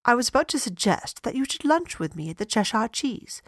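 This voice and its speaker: A woman's voice: a little high, big pitch swings, brisk, no room sound, studio quality. A woman voicing an earnest young fiancée who speaks politely and eagerly.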